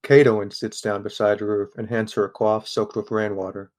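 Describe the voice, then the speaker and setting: A man narrating a first-person audio drama in a measured, understated way. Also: fairly low pitch, flat delivery, a little mellow.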